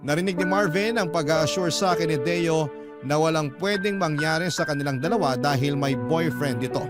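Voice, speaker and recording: A man reading a listener's letter aloud in calm, clear, measured radio-style storytelling. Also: a little high. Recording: narration over background music. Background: instrumental music.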